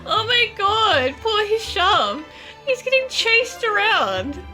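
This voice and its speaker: A woman comments casually on a game playthrough in an amused, teasing way. Her voice is high and bright and moves at an unhurried pace, with faint music behind. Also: very animated. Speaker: gaming commentator.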